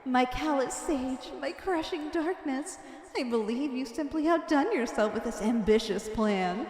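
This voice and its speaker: Girl doing an obsessive evil lover lady, highish voice, super grandiose and fervent asmr roleplay, big echoey reverb. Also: animated, measured pace, darkish tone. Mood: rapturous. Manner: grandiose, ornate, fervent delivery. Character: obsessive villainous woman, wicked devoted lover, theatrical. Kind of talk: ASMR roleplay, scripted dramatic monologue. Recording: echoey, long reverberant hall effect.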